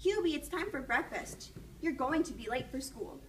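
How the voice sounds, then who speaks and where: A caring mother from a children's book, played by a woman reading aloud. Her voice is high and patient. The sound is a little distant, over faint noise. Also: animated, moderate pace. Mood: coaxing.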